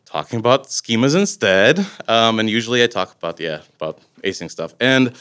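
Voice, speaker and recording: A man's voice: lowish voice, expressive, moderate pace, a bit bright, clear, resonant tone. A man in his forties from Latvia, giving a casual technical conference talk with loose, rambling phrasing and many fillers. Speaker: conference speaker. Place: conference room, indoors. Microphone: podium microphone.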